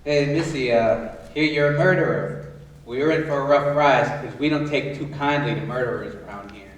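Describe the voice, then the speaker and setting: A man's voice: mid-range voice, moderate pace, slight background noise, lightly acted. A man playing an anguished, protective father who works as a prison guard, in a staged musical reading. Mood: gruff, taunting. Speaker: musical theatre actor-singer. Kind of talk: live staged musical reading, spoken and sung. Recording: echoey stage from far off, music behind.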